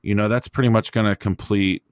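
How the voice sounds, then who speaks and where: A man hosting a motorsport podcast, giving his opinions in casual, halting talk as he thinks aloud. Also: fairly low pitch, fairly even intonation, clean tone, no room sound, clean studio sound. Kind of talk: casual racing discussion, opinionated.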